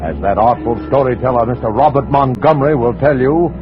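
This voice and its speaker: A man announcing and narrating a 1940s radio suspense show in a theatrical, ominous, deliberately phrased way. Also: mid-range voice. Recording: dull old radio recording. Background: dramatic music.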